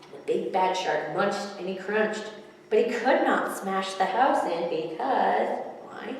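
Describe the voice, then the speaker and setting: A woman's voice: medium pitch, expressive, slow pace, gravelly. A woman in her thirties presenting and reading picture books aloud to children. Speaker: children's library storyteller. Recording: echoey hall, distant mic, background noise.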